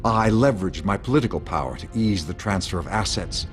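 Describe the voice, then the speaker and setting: Smug old crooked mayor in a game, deepish voice, pompous, music behind him. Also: male voice, animated, fairly quick. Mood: confiding. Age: older. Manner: smooth, pompous, drawling condescension. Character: smug, corrupt older male mayor and power broker. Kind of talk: scripted video game drama.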